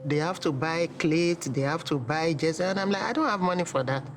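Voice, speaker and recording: A woman's voice: low-pitched, fairly quick, faint music behind. A middle-aged woman with a Nigerian accent telling her personal story in an interview. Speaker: interviewee, mother of an athlete.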